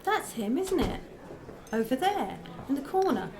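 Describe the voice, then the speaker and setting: Female actor for outspoken radio-play wife, a doubting woman, high-pitched, with lively swings in intonation and probing delivery. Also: measured pace, noisy background. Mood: sceptical, tense. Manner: questioning, pointed. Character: sceptical, outspoken wife caught in a conspiracy. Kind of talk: radio drama acting.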